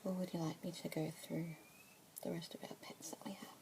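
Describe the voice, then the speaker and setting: Softly whispering Australian woman, gravelly edges. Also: on the low side, level tone, a little fast. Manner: soft breathy whisper with gentle murmured fillers. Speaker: ASMR creator playing a pet adoption specialist. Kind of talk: whispered ASMR roleplay. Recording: close whisper mic with clear background hiss.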